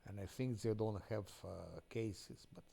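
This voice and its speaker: A man chatting casually over a live game stream. His voice sits low and gravelly, and he often halts mid-sentence while searching for the right English words. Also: measured pace, mellow. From Ukraine. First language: Ukrainian.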